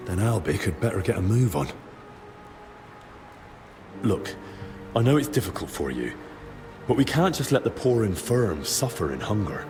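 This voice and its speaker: An actor playing a plain-spoken, persistent young adventurer in a video game, with a frank, wry and informal manner. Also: male voice, on the low side, very animated, normal speed, long pauses, a little mellow. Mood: persuading. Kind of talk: video game cutscene acting. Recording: reverberant, clear music behind.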